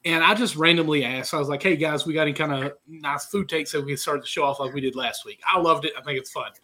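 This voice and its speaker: A hoarse man leads a casual sports chat over a video call. His voice sits a bit high and sounds bright and tense. He talks in a chatty, easygoing way. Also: fast. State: hoarse from a sinus infection. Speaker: podcast host.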